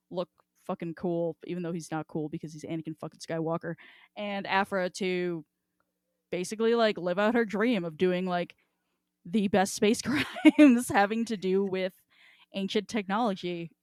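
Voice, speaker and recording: A woman's voice: mid-range voice, clear, resonant tone, dry recording, clean studio sound. A young woman co-hosting a podcast, chatting casually in a giggly, slangy, sweary way. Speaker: podcast co-host. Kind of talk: casual chat about an audio drama.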